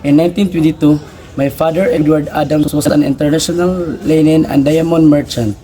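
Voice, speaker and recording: A man's voice: on the high side, steady tone, brisk, warm tone, rough recording. A young man reading out a scripted first-person biography as a student presentation, in a recited manner. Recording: background noise, ambient room sound.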